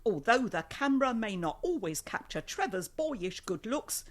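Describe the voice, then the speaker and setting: An actress in a radio play playing an older, old-fashioned woman, fond but tartly sceptical, with a deliberate delivery. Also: medium pitch, sing-song. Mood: reading aloud deliberately.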